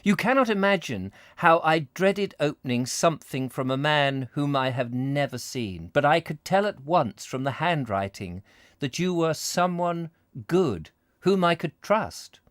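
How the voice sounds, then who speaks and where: A man's voice: a little high, lively intonation, unhurried, no room sound, studio quality, voice pushed up. A man voicing a timid, pleading young woman from a romantic letter in a storybook, with fluttery, dramatic earnestness. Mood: gushing, trusting.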